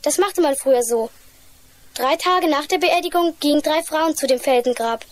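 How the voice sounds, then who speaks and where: A woman's voice: high-pitched, normal speed, lightly acted. A woman playing an anxious, earnest small child in a children's radio play.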